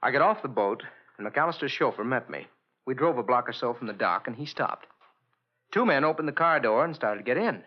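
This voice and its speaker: A man's voice: mid-range voice, animated, brisk, close to an everyday voice. An actor playing an earnest, straightforward male witness telling his account in an old radio crime drama. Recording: dull old radio recording.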